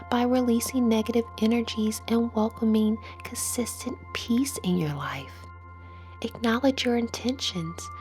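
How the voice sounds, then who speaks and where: A woman leading a guided meditation in a soothing manner, with phrases that trail off into a breathy near-whisper. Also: fairly high pitch, darkish tone. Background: music.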